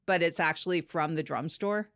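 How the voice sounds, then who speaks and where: A woman playing an awkward, unfiltered broom salesperson in improvised comedy, rambling haltingly in a matter-of-fact way. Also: deep voice, a bit bright, no room sound, clean studio sound. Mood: awkward, casual. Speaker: product demonstrator on a comedy podcast.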